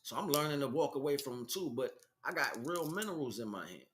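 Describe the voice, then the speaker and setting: A man's voice: mid-range voice, very animated, fast talker, a bit bright, slight background noise. A man giving an unscripted spiritual teaching as a livestream host, speaking emphatically and repeating phrases for stress. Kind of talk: unscripted spiritual monologue, preaching.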